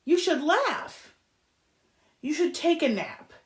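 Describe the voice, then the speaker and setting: A woman's voice: high-pitched, big pitch swings, takes it slowly, pitched above the performer's own voice, exaggerated voice. A woman voicing cheerful, pushy storybook animals, chirpy and insistent as they give advice. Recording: echoey room.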